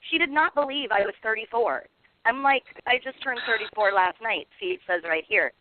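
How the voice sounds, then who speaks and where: A woman's voice: on the high side, moderate pace, slight background noise. A woman in her thirties co-hosting a casual radio talk show, teasing and laughing often. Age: 30s. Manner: giggly, teasing. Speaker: radio co-host. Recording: dry phone-like radio line.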